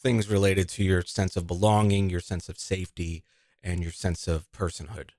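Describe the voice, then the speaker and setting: Very deep-voiced male host. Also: little pitch movement, moderate pace, a little mellow, dry recording, studio quality. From New York. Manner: conversational, restarts his phrases. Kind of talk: podcast interview.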